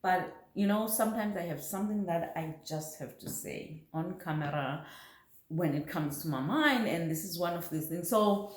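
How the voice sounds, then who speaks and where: A woman's voice: lowish voice, a little mellow. A middle-aged woman with a South African accent talks casually and reflectively to camera, explaining things like a parenting vlogger. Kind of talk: casual personal talk to camera. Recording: roomy, echoey home recording.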